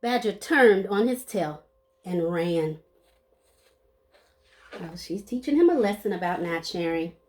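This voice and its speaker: A woman's voice: on the low side, expressive, unhurried, pauses often, darkish tone. A woman reading a children's story aloud expressively, playing up the sound words and adding short asides to her listeners. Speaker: storybook reader.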